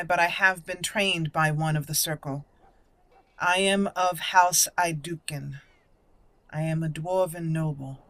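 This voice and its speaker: A woman's voice: fairly low pitch, no echo, natural voice. A woman voicing a proud, formal young noblewoman mage in a roleplayed fantasy game, with a stately, haughty manner.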